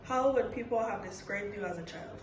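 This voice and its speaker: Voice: mid-range voice, a little fast. An interviewer asking brief, evenly delivered questions one after another. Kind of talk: short scripted interview questions. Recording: roomy recording with faint background noise.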